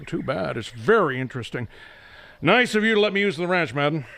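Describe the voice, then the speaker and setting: Guy doing a fussy middle-aged professor, radio drama, high n animated, chatty know-it-all. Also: brisk, creaky, exaggerated voice. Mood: breezy, pedantic. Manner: chatty, pedantic. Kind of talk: audio drama acting of a 1930s mystery.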